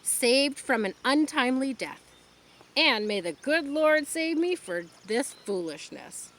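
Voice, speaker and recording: A woman voicing a farmer father who speaks gently but firmly to his daughter. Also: sits high, sing-song, measured pace, voice pushed up, exaggerated voice.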